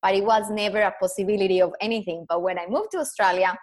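A woman's voice: medium pitch, quick pace. A woman from Colombia with a Colombian accent, a non-native English speaker, telling her story earnestly as a podcast guest. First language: Spanish. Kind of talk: podcast interview.

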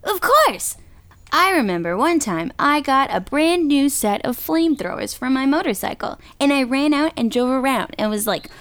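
A woman playing an excitable young child who tells tall tales in a theatrical, animated way for a children's story. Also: high voice, normal speed, no echo. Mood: matter-of-fact, lively. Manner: theatrical, exuberant, acts out voices.

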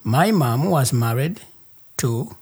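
A man with a Ugandan accent telling a personal story as an interview guest, in deliberate, halting phrases. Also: medium pitch, animated, darkish tone, breathy edge. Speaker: interview guest, human rights activist.

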